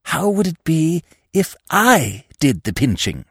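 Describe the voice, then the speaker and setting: A man plays a young upper-class British schemer in a comic storybook. His voice sits high and leaps about wildly. He is breezy, eager and given to slangy exclamations. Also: huge pitch swings, moderate pace, dry recording, clean studio sound, full character voice. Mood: buoyant excitement. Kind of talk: comic audiobook character reading.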